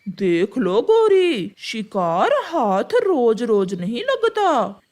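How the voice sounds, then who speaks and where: A slow, drawn-out voice with lively pitch swings. It is a woman acting a wary, emphatic father bird who hunts, from a children's cartoon. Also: heavily acted. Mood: reluctant.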